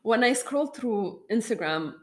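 A woman co-hosting a podcast, telling her stories in a relaxed, casual way that speeds up when she gets excited. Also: a little high, unhurried. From part Moroccan family. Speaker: podcast co-host. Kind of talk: casual chat.

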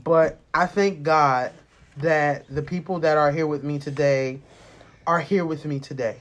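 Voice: medium pitch, steady tone, unhurried. Candid, sweary American podcast host, late twenties. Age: late 20s. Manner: candid, emphatic, profane. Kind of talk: casual personal podcast talk, rambling.

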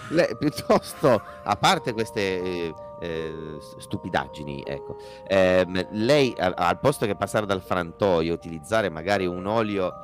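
Low-voiced man bantering over music. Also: animated, a little slow, hardly any pauses. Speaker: show co-host. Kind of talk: casual comic banter. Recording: music under the voice.

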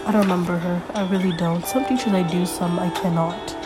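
A young woman hosting a casual solo podcast, a little congested from being sick, rambling with lots of fillers as she thinks out loud. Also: lowish voice, fairly even intonation, fairly quick, loud music behind the voice. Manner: rambling, filler-heavy, thinking aloud while flipping pages. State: congested from a recent illness. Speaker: podcast host.

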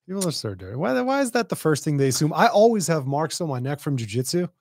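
A man's voice: on the high side, big pitch swings, quick pace, a little mellow, no room sound, clean studio sound. A man who speaks Arabic as his first language, hosting a podcast in casual chat, blunt and sardonic, laughing often. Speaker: podcast host.

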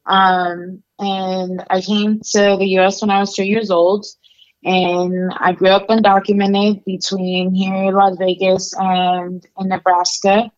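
A woman's voice: mid-range voice, little pitch movement, takes it slowly, highs cut off. A young adult woman from Mexico, a guest telling her life story in a casual, candid interview full of fillers. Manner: candid, conversational, many fillers.

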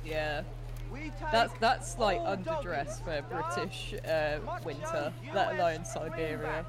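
A man's voice: on the high side, slow pace. A man co-hosting a podcast with casual, wry, teasing commentary on a film. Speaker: podcast co-host.

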